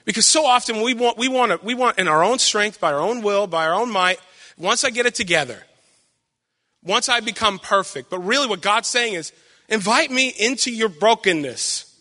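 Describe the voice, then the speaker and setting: A man's voice: high voice, lively intonation, moderate pace, sharp tone, big-room sound. A man giving an earnest, encouraging devotional talk, often repeating and restarting his phrases. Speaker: preacher.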